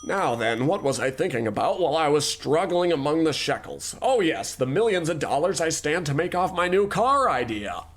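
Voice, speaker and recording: An actor playing a pompous, self-absorbed tycoon boss in an old-time radio comedy, with a bossy, theatrical delivery. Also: male voice, a little high, very animated, normal speed, hardly any pauses. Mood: haughty.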